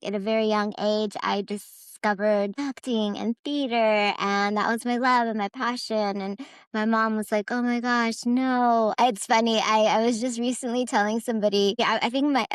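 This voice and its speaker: A woman's voice: medium pitch, little pitch movement, moderate pace, smooth, no echo. A young adult woman, born in Iran and raised in the US, talking earnestly as a podcast guest, with many fillers and restarts. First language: Farsi. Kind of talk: casual conversation.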